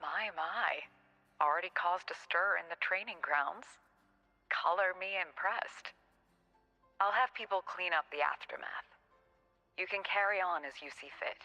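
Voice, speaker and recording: A woman playing a composed, shrewd academy president in a video game, measured and wryly polite. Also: lowish voice, pushed and bright, big-room sound, highs cut off, faint music behind. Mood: amused.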